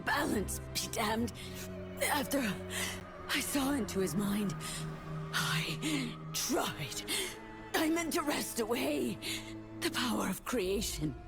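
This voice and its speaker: A woman's voice: on the high side, big pitch swings, slow, creaky, long pauses, over music, heavily acted. An actress playing a solemn, rueful idealist woman in a fantasy game. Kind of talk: scripted game voice acting.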